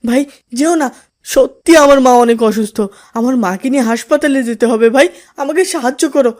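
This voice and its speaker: A performer voicing a mischievous boy who loves fooling his friends, in an expressive, theatrical manner for a moral cartoon. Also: high-pitched, normal speed, natural voice. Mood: desperate, pleading. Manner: gleeful teasing, swings into wailing pleas. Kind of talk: Islamic moral cartoon voice acting.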